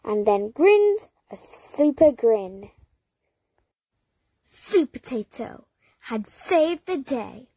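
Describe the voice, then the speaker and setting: Lady reading a kids storybook real slow, breathy, big sing-song swoops. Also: dry recording, clean studio sound. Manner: slow and expressive, jumps up high for dramatic cries. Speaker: storybook reader. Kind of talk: children's read-aloud narration.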